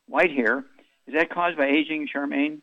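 A man hosting a radio health call-in show, telling rambling stories and firing brisk questions at callers. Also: a little high, steady tone, dry recording, highs cut off.

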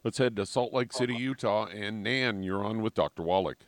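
A man announcing on a radio show, reading ad copy in a measured way and repeating phone numbers slowly. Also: lowish voice, dry recording. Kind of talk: scripted ad read.

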